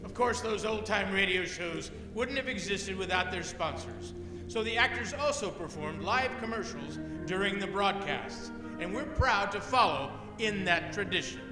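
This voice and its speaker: A man reading scripted radio play narration with a storyteller's measured phrasing. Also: high-pitched, expressive. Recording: reverberant live hall, music behind.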